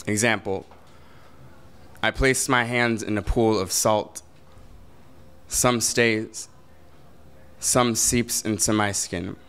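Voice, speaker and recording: A young man performing spoken word poetry at a slam, slow and deliberate, building to intense declamation, in a small brick-walled venue. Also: fairly low pitch, steady tone, fairly bright tone, clear, resonant tone. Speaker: slam poet.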